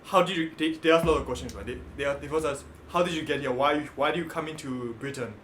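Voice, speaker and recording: A man co-hosting a casual podcast, telling a story excitedly with lots of swearing among friends. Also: a little high, fast talker, reverberant, a little hiss or hum. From raised partly in Singapore. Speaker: podcast co-host. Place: indoor room with friends.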